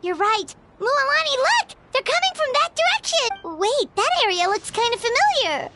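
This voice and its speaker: A woman voicing a tiny, childlike, excitable fairy companion with a squeaky voice in a video game. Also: moderate pace, very noisy. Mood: excited. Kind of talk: game voice acting.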